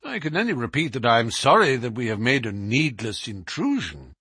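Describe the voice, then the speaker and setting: Man voicing a gentleman detective, amiable, courteous, composed, lightly husky, animated intonation, audiobook character. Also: medium pitch, dry recording, clean studio sound, exaggerated voice. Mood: polite, guarded. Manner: polite, measured, formal. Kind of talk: dramatised audiobook reading.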